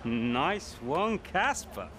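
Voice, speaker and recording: An actor playing a smug, needling young rival racing driver in a video game cutscene. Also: male voice, on the high side, big pitch swings, extremely slow, background noise, exaggerated voice. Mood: smug, confiding.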